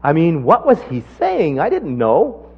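A man preaching a sermon and telling a personal testimony, climbing sharply in pitch when he drives a point home. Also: wildly swooping pitch, unhurried, creaky, husky. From Canada. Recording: dull lo-fi sermon recording.

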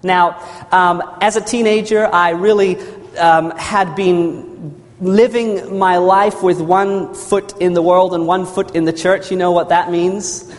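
A man's voice: sits high, fairly even intonation, slow. A man from New Zealand preaching a sermon in a church hall. He tells his story earnestly, repeats phrases and calls for amens. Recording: long reverb of a large hall.

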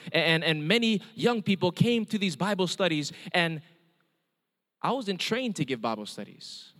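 A man's voice: on the high side, a bit bright. A middle-aged man from Hawaii with a Hawaiian accent, preaching an earnest testimony sermon and calling for amens. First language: Hawaiian Pidgin. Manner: earnest storytelling with calls of amen. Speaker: evangelist. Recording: long hall reverb.

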